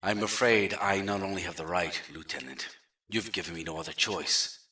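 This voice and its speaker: A man's voice: deep voice, normal speed, bright, forceful tone, roomy sound, far from the mic. An actor playing a coldly polite, threatening male general holding a prisoner. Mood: smooth menace. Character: menacing male general, smooth and patronizing.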